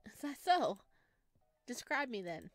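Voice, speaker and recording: A woman voicing a gentle, self-deprecating young male forest monster from a visual novel, soft-spoken and playfully teasing. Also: a little high, very animated, measured pace, no echo, soft background music. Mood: playful, expectant.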